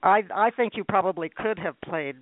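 A man hosting a radio interview show, enthusiastic and warm-hearted in his praise, often stumbling and restarting his questions. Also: mid-range voice, normal speed, dry recording. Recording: tinny lo-fi line.

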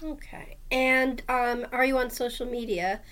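A woman's voice: fairly high pitch, steady tone, a little slow, a bit bright. A woman hosting an interview show, asking questions and thanking her guest. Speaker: show host.

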